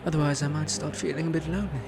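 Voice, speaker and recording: An actor playing a suave, smug, flirtatious prince in a romantic roleplay audio drama, teasing and haughty with a sinister edge. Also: male voice, fairly high pitch, steady tone, very fast, vocal fry. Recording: music under the voice.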